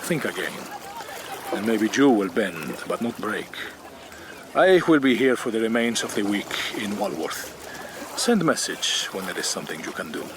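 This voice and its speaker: Smooth persuasive spanish duke, lowish voice, courtly radio drama, water noise behind him. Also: male voice, very animated, normal speed. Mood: pressing. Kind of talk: period radio drama acting. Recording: noisy water ambience behind.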